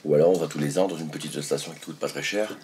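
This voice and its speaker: A man's voice: rumbling low, fairly even intonation, quick pace, a little mellow, slight background noise. A man at a breakfast table answering questions frankly about his family holiday. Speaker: holiday guest interviewed. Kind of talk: interview. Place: apartment breakfast table indoors.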